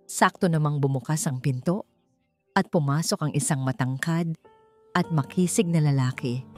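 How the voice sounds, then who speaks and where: A woman's voice: low voice, a little mellow. A woman giving a dramatic scripted narration of a romance story, with music behind her.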